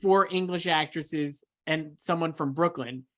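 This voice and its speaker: A man's voice: on the high side, a little slow, a bit bright. Emphatic man chatting casually as co-host. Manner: emphatic, mildly sweary. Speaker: awards podcast co-host and pundit. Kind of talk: casual film awards chat.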